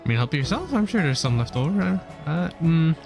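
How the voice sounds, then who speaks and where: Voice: medium pitch, very animated, brisk, vocal fry. A livestreamer giving casual, offhand commentary while playing a game, reacting aloud to the dialogue. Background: game music.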